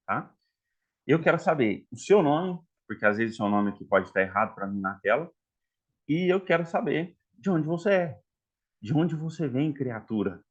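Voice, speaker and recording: A man's voice: medium pitch, lo-fi sound. A man from Minas Gerais with a mineiro Portuguese accent, teaching a chatty live online class for therapists and checking in with the audience often. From Minas Gerais, Brazil. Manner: chatty, addresses audience as "galera", frequent "tá?" and "né?". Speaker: online course instructor.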